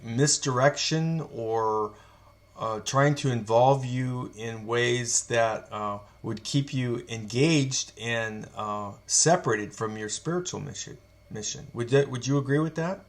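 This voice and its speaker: A man hosting an interview who speaks haltingly, searching for words with frequent fillers. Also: medium pitch. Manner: halting, with many fillers and slow, searching phrasing. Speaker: interviewer and host. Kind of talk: conversational interview.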